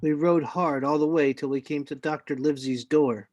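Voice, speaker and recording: A man's voice: fairly high pitch, fairly even intonation, normal speed, darkish tone, natural voice. A man playing a grown adventurer who narrates his own story in scripted first person for a radio play. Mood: storytelling. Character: grown Jim Hawkins recounting his adventure.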